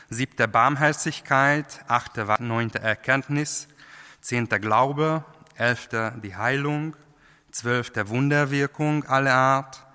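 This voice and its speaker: A man preaching a sermon in a language that is not his first, halting, with many fillers and restarts. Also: mid-range voice, bright, forceful tone, clean tone. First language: not German. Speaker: lay preacher. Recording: long hall reverb.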